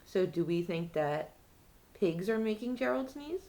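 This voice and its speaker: A woman's voice: mid-range voice, very animated, a little slow, dark tone. A woman reading a picture book to young children, asking simple questions and answering them herself. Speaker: children's read-aloud storyteller.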